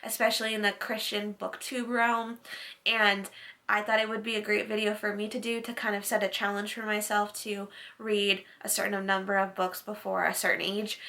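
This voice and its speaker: A woman's voice: medium pitch, bright, forceful tone. A woman in her late twenties, a book vlogger chatting casually to camera, with lots of "like" fillers. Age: late 20s.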